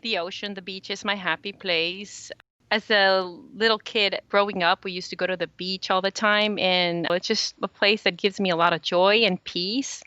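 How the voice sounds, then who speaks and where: Bright, clear woman from El Salvador. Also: medium pitch, steady tone, normal speed, dry recording. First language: Spanish. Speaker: podcast guest, small business owner. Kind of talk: conversational interview answers. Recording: slightly dull, lo-fi audio.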